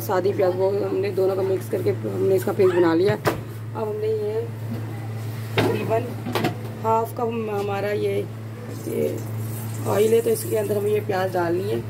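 Pakistani woman host, mid-pitched voice, home kitchen cooking demo, noisy phone recording. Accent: Pakistani Urdu. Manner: homely, explains slowly with many restarts and "yani". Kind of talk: step-by-step cooking instructions.

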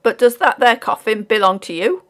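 A blunt, stubborn dock porter from a storybook, acted out by a woman, speaks. His delivery is loud and dogged, with pitch that swings widely as he insists on his point. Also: animated, normal speed, close to an everyday voice. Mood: uneasy, insistent. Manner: dogged, blunt, ungrammatical dialect speech. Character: gruff, stubborn male English dock porter, working-class. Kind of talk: audiobook character voice.

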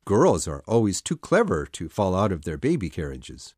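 Brief: a woman playing a cocky ageless boy in a storybook, lowered voice, dry close sound. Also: very animated, moderate pace, darkish tone, husky, no room sound, heavily acted. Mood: earnest. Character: boy Peter, eternally young, boastful leader. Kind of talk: storybook reading.

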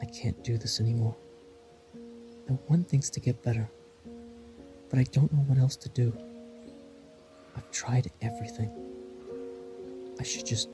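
A man's voice: mid-range voice, steady tone, measured pace, long pauses, over music, natural voice. A man playing a distrustful, emotionally broken young man in a fan audio drama, sarcastic and defensive. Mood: despairing.

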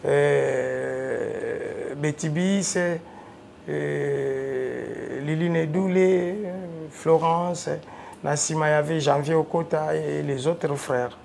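A man's voice: a little high, extremely slow, creaky, a little hiss or hum. A man with a Congolese French accent, a studio interview guest talking casually and animatedly about his music career. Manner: animated storyteller, rising loud when excited. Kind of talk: casual interview talk, mixing French and Lingala. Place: TV studio, seated on a sofa.

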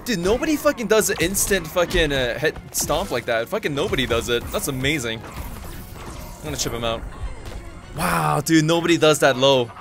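A man whose first language is Korean, hosting his channel and commentating his own online fighting-game matches, excitable and swearing freely as he talks to himself. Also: a little high, moderate pace, music in the background. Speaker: gaming channel host. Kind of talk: live gameplay commentary.

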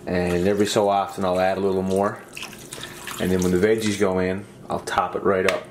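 A man's voice: deep voice, flat delivery, fairly quick. A young man, talking casually through his home cooking in a laid-back, folksy way. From Newfoundland and Labrador, Canada. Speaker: home cook presenting a video. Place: small home kitchen, indoors. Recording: roomy kitchen echo, clear sizzling noise.

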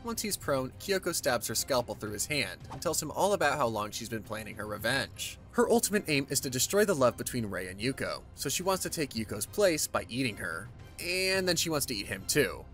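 A man reads a story recap with an animated, up-and-down delivery. His pitch sits in a middle range, and he sounds casual and wry, like a scripted narrator adding asides. Light music plays underneath. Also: a little fast.

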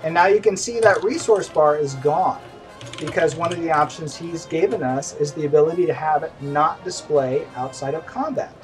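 A man's voice: on the high side, moderate pace, recorded from a distance. A middle-aged man hosting a casual game stream, chatty and self-deprecating, recorded at home. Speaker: stream host. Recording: voice from away from the mic, faint music behind.

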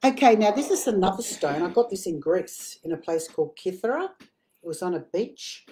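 A woman's voice: fairly low pitch, lively intonation, brisk, dark tone, a little hiss or hum. A woman in her fifties hosting a live spiritual chat, eagerly showing crystals on a video call while fighting a dry cough. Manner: enthusiastic show-and-tell, gushing. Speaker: psychic medium host. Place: home room with a paper screen. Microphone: video call webcam.

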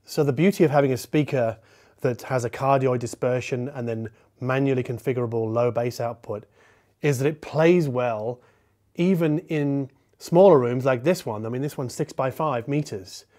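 A man's voice: mid-range voice, normal speed, mellow, faint music behind. A man in his forties explaining a product to camera as a reviewer, step by step and in informal phrasing. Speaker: audio reviewer, presenter. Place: medium living-room listening space, indoors.

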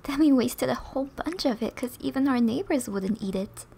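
A woman playing a flirty, teasing, affectionate wife in an ASMR roleplay, soft and often breathy, with playful, intimate delivery. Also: sits high, expressive, moderate pace, a little hiss or hum.

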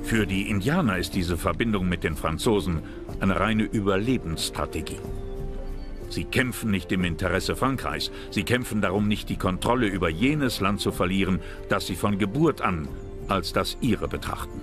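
A man's voice: sits low, normal speed, music in the background. A man delivering scripted narration as the narrator of a history documentary.

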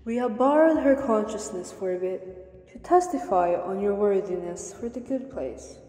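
A woman's voice: medium pitch, lively intonation, warm tone, close to an everyday voice. A woman playing a composed, formal and impartial afterlife court examiner in a radio drama. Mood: calm, firm. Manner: formal, measured, authoritative. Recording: echoey reverberant hall.

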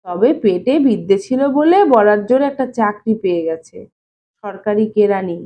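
A woman's voice: medium pitch, animated, normal speed, mellow, a little hiss or hum, pitched below the performer's own voice, natural voice. A woman reading an audio story, voicing a shrewd older man who needles and questions his brother-in-law in a folksy way. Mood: knowing, probing. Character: shrewd, teasing older brother-in-law, male, gently probing.

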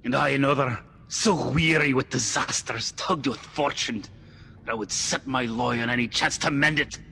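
An actor playing a weary hired murderer in a classic radio drama. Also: male voice, mid-range voice, moderate pace, vocal fry, pushed and bright, a little hiss or hum. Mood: grim.

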